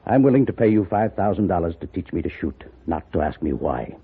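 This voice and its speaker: Husky, proud blind gentleman. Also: low-pitched, big pitch swings, brisk, exaggerated voice. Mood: grave, insistent. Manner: formal, commanding. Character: proud, determined, wealthy blind gentleman, a father. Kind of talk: 1950s radio western acting. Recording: narrow, muffled old radio recording.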